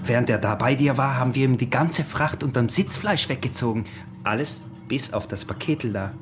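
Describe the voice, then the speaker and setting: Scheming, possessive peasant smuggler, played by a man, Austrian accent, wheedling and proud, radio drama with music clearly underneath. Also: medium pitch, brisk, lightly acted. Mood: sly, gloating. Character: scheming, possessive, short-winded smuggler husband, greedy and proud. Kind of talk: intimate radio drama acting.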